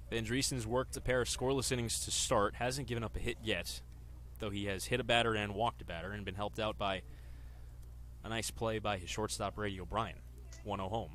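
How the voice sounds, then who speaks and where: Young man, bright sports announcer voice. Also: lowish voice, a little hiss or hum. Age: 20s. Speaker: sports reporter and baseball radio play-by-play announcer. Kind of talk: sports reporting and live baseball commentary.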